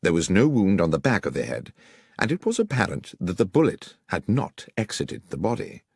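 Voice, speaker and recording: A man's voice: deep voice, big pitch swings, normal speed, a little mellow, husky, dry recording, clean studio sound. A man reading a scripted detective story as an audiobook narrator.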